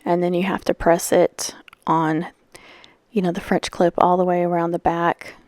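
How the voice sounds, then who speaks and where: A woman's voice: fairly low pitch, flat delivery, moderate pace, darkish tone, no room sound. Woman with a scratchy voice, chatty craft-tutorial host, rambling delivery, dry close sound. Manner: rambling, chatty, lots of fillers. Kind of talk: casual voice-over while crafting.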